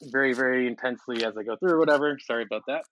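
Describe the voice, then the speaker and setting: A young man talking loudly and intensely as a guest in a casual podcast chat. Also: mid-range voice, rapid-fire, slight background noise. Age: young adult. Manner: loud, intense and excitable.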